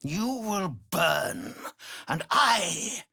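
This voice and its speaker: Theatrical, swooping cruel vampire lord. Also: male voice, sits high, very animated, extremely slow, creaky, bright, forceful tone, husky, no echo, studio quality, full character voice. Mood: disdainful. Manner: theatrical, contemptuous, menacing, savoring words. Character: cruel, imperious aristocratic male vampire master. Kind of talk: dramatic video game villain acting.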